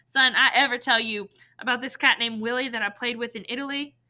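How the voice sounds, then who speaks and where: A woman's voice: fairly high pitch, fairly even intonation, a little fast, bright, forceful tone. A woman voicing a teasing, boastful father of teenage boys in a story, with playful slangy talk. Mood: cocky.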